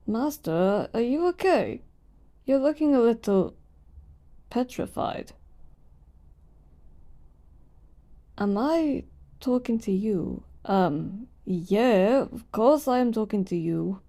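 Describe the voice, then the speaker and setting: A woman's voice: fairly high pitch, expressive, slow, warm tone. A woman voicing an ancient genie of the lamp in a roleplay audio, weary yet playful, moving between grand servitude and casual sass. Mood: teasing, sassy. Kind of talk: roleplay audio acting addressed to the listener.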